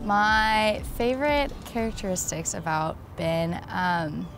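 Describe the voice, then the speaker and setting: A woman's voice: medium pitch, expressive, slow, music in the background. A young adult woman telling her own love story in a casual, personal way.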